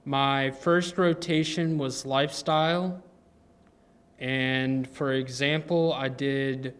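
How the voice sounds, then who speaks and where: A twenty-year-old man introducing himself as a program intern, earnest and pausing with ums and self-corrections. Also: mid-range voice, fairly even intonation, fairly bright tone, smooth.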